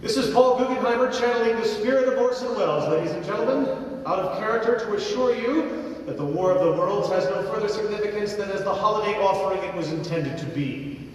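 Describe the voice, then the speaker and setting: A man's voice: sits high, brisk. A man announcing in a grand, declamatory, old-time radio style as the showmanlike host of a live play. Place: large theatre, live stage performance. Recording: echoey theatre from far, music and crowd.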